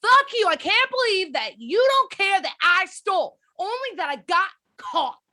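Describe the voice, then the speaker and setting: A woman plays a pissed-off sixteen-year-old girl in a table read. Her voice is high, sharp and very animated, with a sullen, confrontational edge and plenty of swearing. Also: moderate pace, heavily acted. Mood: furious. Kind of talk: amateur script table read.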